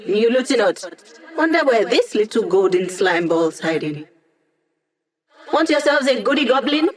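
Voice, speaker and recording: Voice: mid-range voice, distant mic, natural voice, poor audio. An actor voicing a playful, cocky young hacker in a video game, chatting in a casual, teasing, self-assured way while guiding a squad of villains.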